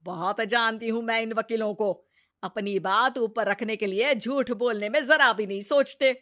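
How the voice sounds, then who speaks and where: A woman voicing a sharp-tongued, nagging mother-in-law in a Hindi cartoon story, theatrical and taunting. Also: on the high side, normal speed. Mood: scolding.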